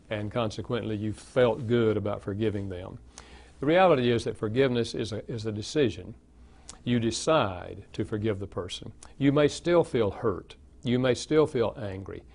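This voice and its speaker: An older man with a Southern American accent, an author answering interview questions in a steady, explanatory way. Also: on the low side. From Southern United States. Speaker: author and guest. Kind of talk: interview conversation.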